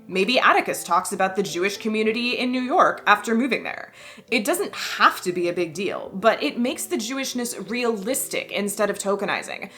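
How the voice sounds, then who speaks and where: A woman's voice: medium pitch, pushed and bright. A woman, a history and costume video creator, reading a scripted video essay in a wry voice with playful emphatic asides.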